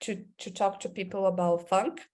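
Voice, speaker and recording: A woman's voice: medium pitch, steady tone, moderate pace, darkish tone. A woman from Brazil with a Brazilian Portuguese accent, speaking English as an interview guest, thoughtful and full of fillers and restarts. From Brazil, Amazon region. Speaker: creative director and club president.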